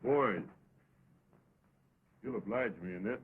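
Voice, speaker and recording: An actor playing a reserved, honorable American cowboy, laconic and plain-spoken, in early western talkie style. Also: male voice, on the low side, slow, husky, exaggerated voice. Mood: grave, resolved. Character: reserved, honorable cowboy reluctantly facing a gunfight. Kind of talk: early 1929 western talkie film acting. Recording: tinny, band-limited 1920s film soundtrack.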